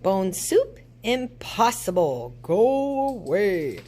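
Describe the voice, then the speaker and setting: A woman's voice: mid-range voice, big pitch swings, drawn-out words, pitched above the performer's own voice, full character voice. A woman voicing a spooky, grudging storybook ghost who speaks in a dismissive, warning manner. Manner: forceful, warning.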